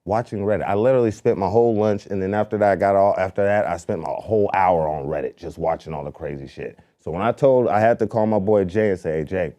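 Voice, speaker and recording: A man, co-hosting a show and telling loose, animated stories full of slang. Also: low-pitched, fast, few pauses. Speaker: podcast co-host. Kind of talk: casual chat.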